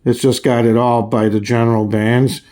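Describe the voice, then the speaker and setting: A man giving a casual, hands-on review in a halting, folksy way, often restarting his phrases. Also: medium pitch, flat delivery, mellow. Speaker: radio reviewer. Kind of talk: casual hands-on product demonstration.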